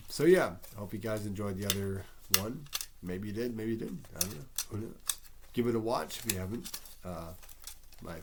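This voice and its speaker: A man with a head cold, sounding congested, casually rambling through a fan pitch with lots of ums and restarts. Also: noisy background. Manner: rambling, self-deprecating, many ums and restarts. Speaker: fan pitching movie sequel ideas. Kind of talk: casual solo monologue.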